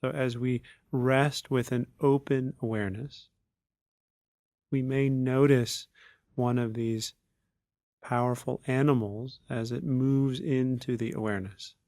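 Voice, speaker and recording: Slow, creaky-voiced man guiding a meditation. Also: medium pitch, fairly even intonation, a little mellow, no echo, studio quality. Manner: unhurried, measured phrases with long pauses. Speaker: meditation teacher. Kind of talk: guided meditation.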